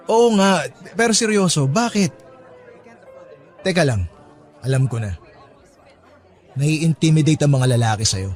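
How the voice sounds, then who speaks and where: Young male office worker, radio drama, higher-pitched, teasing, coaxing, music and crowd behind. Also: lively intonation, darkish tone. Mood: teasing, amused. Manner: teasing, coaxing, hesitant pauses. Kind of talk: radio drama acting, conversational Tagalog.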